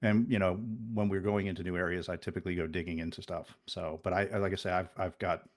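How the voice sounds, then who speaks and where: A man in his fifties with a deep, low voice, originally from the Netherlands, chatting as a podcast guest. He rambles through his stories with frequent restarts and wry asides about himself. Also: level tone, fast talker. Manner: rambling, many restarts and self-deprecating asides. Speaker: podcast guest, network engineer. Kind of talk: casual interview chat.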